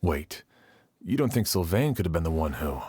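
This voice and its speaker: A man's voice: low-pitched, big pitch swings, normal speed, a little mellow, husky, no echo, clean studio sound, full character voice. An actor playing a serious, courteous male guard officer in a video game, speaking formally and politely. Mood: serious, puzzled.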